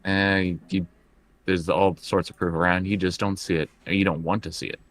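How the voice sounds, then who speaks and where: A man playing a tabletop roleplaying game, improvising his character's actions in halting, casual talk. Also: low voice, flat delivery, a bit bright, no room sound.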